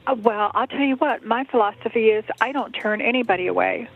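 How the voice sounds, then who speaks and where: A woman's voice: on the high side, a little fast, gravelly. A woman who works in church faith formation, answering a phone call in a hesitant, polite and cautious way. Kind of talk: phone conversation. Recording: tinny phone line.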